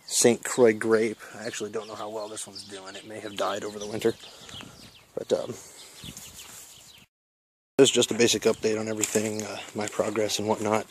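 A man with a low, fairly monotone voice talks through his backyard garden in a loose, rambling way, full of ums and uhs. He is recorded on a handheld camera outdoors while walking. Faint outdoor noise sits behind him. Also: moderate pace, pauses often. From Iowa. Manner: relaxed, rambling, many fillers. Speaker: permaculture vlogger. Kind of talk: casual walk-through narration. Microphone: handheld camera mic while walking.

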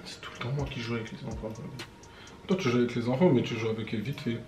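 A man's voice: mid-range voice, fairly even intonation, quick pace, reverberant, music in the background. A young man from France chatting with his wife on a couples vlog, talkative and full of street slang. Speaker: vlogger's husband. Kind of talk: casual couple Q&A chat. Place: living room with fireplace screen.

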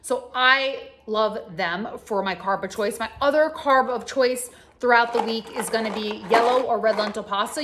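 A woman's voice: on the high side, noisy background. A woman in her thirties talking straight to camera as a health coach, casually instructing in a conversational, emphatic way in a home kitchen. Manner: conversational, emphatic, addresses the audience directly. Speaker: health coach and video host.

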